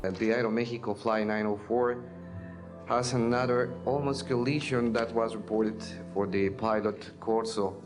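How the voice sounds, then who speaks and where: A man with a Mexican accent, a native Spanish speaker, gives interview testimony as an air traffic controller, haltingly searching for his English words. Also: lowish voice, steady tone. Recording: music under the voice. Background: music.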